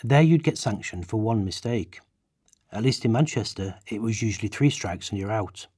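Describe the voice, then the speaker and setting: A man's voice: on the low side, animated, normal speed, a little mellow, breathy edge. A man giving declamatory, emphatic readings of quoted prose for a radio play. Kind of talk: dramatic read quotations in a radio play.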